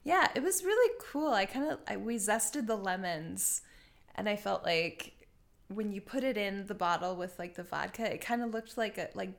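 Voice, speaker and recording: A woman's voice: medium pitch, lively intonation, normal speed, vocal fry. A woman co-hosting a podcast in casual chat, with a profane and giggly manner. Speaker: podcast co-host. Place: living room.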